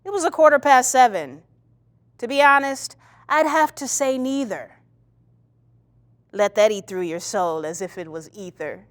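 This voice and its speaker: Woman reciting rhymed verse, higher-pitched. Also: lively intonation, a little slow, pauses often. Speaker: actor. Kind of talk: dramatic poetry recitation.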